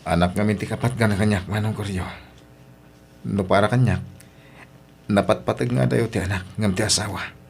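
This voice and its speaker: A man's voice: fairly low pitch, fairly even intonation, moderate pace, vocal fry, long pauses, a little mellow, slight background noise. A man with an Ilocano accent playing an emotional husband and father in a radio drama, with emphatic, plaintive delivery. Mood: grieving, resigned.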